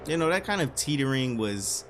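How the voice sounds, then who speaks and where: A man's voice: medium pitch, big pitch swings, brisk, fairly bright tone, slight background noise. A man giving casual, slangy reaction commentary as a video host, often repeating phrases for emphasis.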